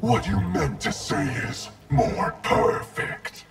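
Gravelly, imperious shadow ninja warlord. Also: male voice, lowish voice, wildly swooping pitch, slow, exaggerated voice. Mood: cold disdain. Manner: cold, imperious, clipped declarations. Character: menacing, arrogant undead shadow ninja warlord, perfected by chaos. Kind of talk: video game character dialogue. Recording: loud music under the voice. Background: loud game music.

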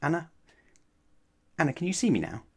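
A man voicing an excitable, insistent young boy who is loud and exasperated, as in a children's story. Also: on the high side, fairly quick, vocal fry, husky, pitched above the performer's own voice, full character voice.

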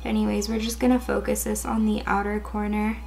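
A young woman in her twenties with a raspy, slightly hoarse voice, chatting casually through a makeup tutorial with lots of "um" and "like". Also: mid-range voice, flat delivery, fast, a little mellow, faint music behind. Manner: casual, chatty, many fillers like "um" and "like". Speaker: beauty vlogger. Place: indoors, in front of a gold sequin backdrop.

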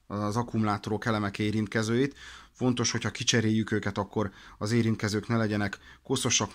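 A man explaining products in an informal instructional way, practical and a little hesitant in his delivery. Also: mid-range voice. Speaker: product demonstrator for a radio shop.

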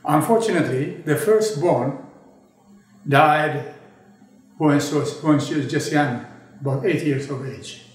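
An elderly man in his eighties, from Ethiopia, talks slowly and deliberately with a slightly raised pitch. He pauses often and restarts phrases as he reflects. The voice sounds distant in a very echoey room. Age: 80s. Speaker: interviewee recalling his childhood. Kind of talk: oral history interview. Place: indoor studio with a draped backdrop.

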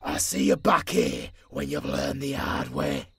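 A British man playing a weary, menacing old werewolf mentor with a gruff, husky growl in a dramatic audio roleplay. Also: medium pitch, steady tone, unhurried, exaggerated voice. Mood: exasperated. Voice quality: gravelly, husky, breathy growl. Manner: brooding, gruff, sweary.

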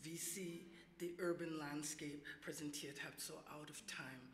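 A woman's voice: low-pitched, fairly even intonation, unhurried, a little hiss or hum. American woman speaking German, roomy hall. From United States. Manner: slightly accented, searching for words, mixing in English terms. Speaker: interviewer. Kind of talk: live stage conversation. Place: gallery event space. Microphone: table mic at a distance. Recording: echoey room.

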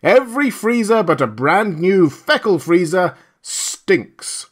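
A man voicing an enraged, sneering advertising pitchman in an audiobook, howling sales slogans in a harsh voice. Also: big pitch swings, takes it slowly, breathy edge, heavily acted. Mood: sneering, contemptuous. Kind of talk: dramatised science-fiction audiobook.

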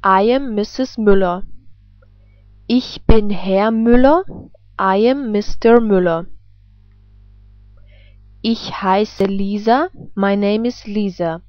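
Woman, language teacher, very slow careful delivery, dry slightly muffled recording. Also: medium pitch, no room sound. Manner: careful, deliberate enunciation. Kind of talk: scripted language lesson, example phrases with translations.

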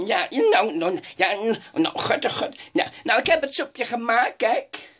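Brief: woman voicing a forgetful old lady fronting a microwave cooking show, slightly high voice, rambling and sighing to herself. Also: very animated, a little slow, fairly bright tone, full character voice. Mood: doddering. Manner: rambling, forgetful, full of sighed "oh gut"s. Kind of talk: comedic improvised sketch.

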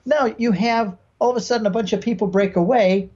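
A man's voice: high voice, fairly even intonation, a little fast, dark tone, dull audio. An American man in his sixties from Michigan, explaining as a podcast guest with stories and examples, emphatically acting out quoted speech. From Michigan, United States. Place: home room with bookshelves, video call.

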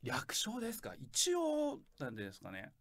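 A man chatting casually as a guest, jokey and excitable. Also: mid-range voice, a little fast, creaky, no room sound. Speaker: light novel author, guest. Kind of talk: casual joking chat.

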